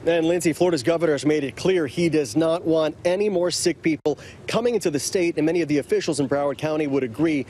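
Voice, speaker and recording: A man in his thirties giving a live news report from outdoors by a city park, with a brisk, clear delivery. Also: fairly high pitch, warm tone, slight background noise. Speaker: field reporter. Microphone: clip-on mic, medium camera shot.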